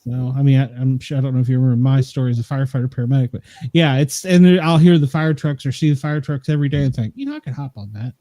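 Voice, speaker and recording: A man's voice: medium pitch, very fast, hardly any pauses, warm tone, no room sound. A man in his fifties co-hosting a podcast, rambling in casual chat and laughing at himself. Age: 50s. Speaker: podcast co-host.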